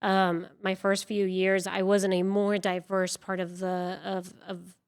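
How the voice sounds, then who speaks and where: A woman from Venezuela whose first language is Spanish, telling her story as an interview guest. Her voice is smooth and clean, and her delivery is chatty, loose and full of fillers, with self-deprecating asides. She sits at a middle pitch for a woman. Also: flat delivery, unhurried. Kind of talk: casual interview talk.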